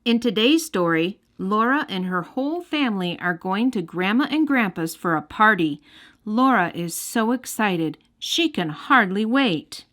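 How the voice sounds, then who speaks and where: Casting: female storybook narrator, medium register, expressive delivery aimed at children, light music bed. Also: medium pitch, unhurried. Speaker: host and storybook reader. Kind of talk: children's read-aloud narration.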